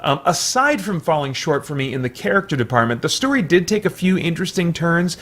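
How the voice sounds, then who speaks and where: A man's voice: on the high side, big pitch swings, fairly quick, a bit bright. Rambling male movie reviewer. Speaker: movie reviewer, video host. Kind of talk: casual unscripted film review.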